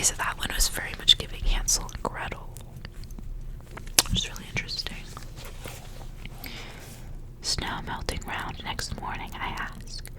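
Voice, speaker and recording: Whispering woman, soft ASMR host. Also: rough recording. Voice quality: breathy, unvoiced whisper. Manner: soft, rambling, repeats words. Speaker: ASMR host reading books aloud. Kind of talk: whispered ASMR, reading and casual chat.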